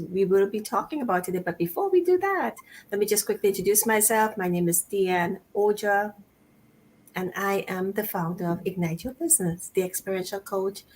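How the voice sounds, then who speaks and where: A bubbly woman hosts an online talk show, laughing often as she welcomes everyone. Her voice sits at an ordinary middle pitch, with a faint hiss of room noise behind it. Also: low-quality recording. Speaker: show host.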